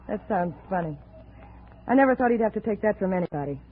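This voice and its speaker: An actor playing a troubled, guardedly loyal jungle traveller in a 1950s radio drama. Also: male voice, high-pitched, animated, brisk, slightly rough, phone-quality sound. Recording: thin, noisy 1950s radio recording.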